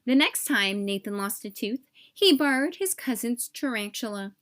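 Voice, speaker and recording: High-pitched woman reading a children's story. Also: animated, normal speed, a bit bright. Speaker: storyteller reading a picture book aloud. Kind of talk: expressive children's read-aloud narration.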